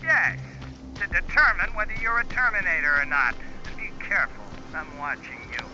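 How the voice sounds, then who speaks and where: An actor plays a paranoid, eccentric male scientist in a sci-fi game. He speaks in a high, taunting, suspicious voice. The sound is dull, with music behind it. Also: moderate pace, lightly acted. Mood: suspicious, testing. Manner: suspicious, mocking. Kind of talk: dramatic game voice acting.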